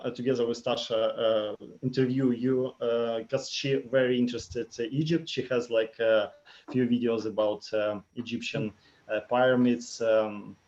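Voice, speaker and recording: A man with a Russian accent, Russian native, co-hosting a casual interview, speaking haltingly with many fillers. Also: mid-range voice, steady tone, darkish tone. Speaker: co-host. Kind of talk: casual video-call interview. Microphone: video call. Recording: lo-fi video call audio.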